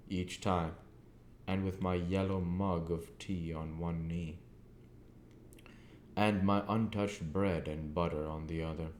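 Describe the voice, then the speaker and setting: A man narrating a classic novel as an audiobook reader, deliberately and in short phrases. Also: low voice, darkish tone. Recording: echoey room with faint background noise.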